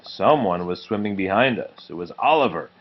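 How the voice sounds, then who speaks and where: A man reading a children's book aloud to a small child in an expressive way. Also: fairly low pitch, moderate pace. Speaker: read-aloud storyteller. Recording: dull muffled home recording, faint noise.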